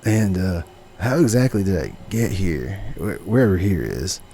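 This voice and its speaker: A man's voice: deep voice, expressive, normal speed, mellow. A man playing a laid-back, dim young stoner who is easily amazed, speaking in slangy slacker style in an audio comedy. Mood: casual. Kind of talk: comedic audio drama acting.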